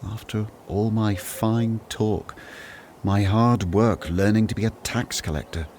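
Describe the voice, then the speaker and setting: An actor playing a doubtful, weary disciple who was once a tax collector, speaking in an earnest, plaintive manner in a Bible radio drama. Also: male voice, fairly low pitch, steady tone, unhurried, a little mellow, slight background noise.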